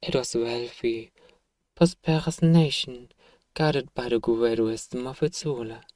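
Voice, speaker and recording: A man acts out an evil emperor in a bedtime audio role-play. The voice is dark and mellow, recorded close and dry. He speaks softly and formally, a measured, fatherly ruler who is quietly sure of his own righteousness. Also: medium pitch, no room sound, lightly acted. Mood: solemn, self-satisfied. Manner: gentle, measured, formal, persuasive. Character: evil emperor father, soft-spoken, paternal, self-righteous.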